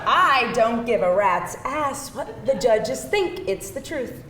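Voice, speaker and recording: A woman's voice: a little high, sing-song, slow pace. A woman playing a candid, feisty date with a hesitant, conversational delivery at a live screenplay table read. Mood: flustered, indignant. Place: indoor room before a live audience. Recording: echoey room, distant mic, faint noise.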